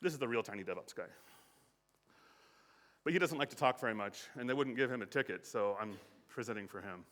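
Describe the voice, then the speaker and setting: A man's voice: medium pitch, animated, brisk, pauses often, a bit bright. An American man giving a casual conference talk, with frequent fillers and restarts. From United States. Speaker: conference speaker. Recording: reverberant hall.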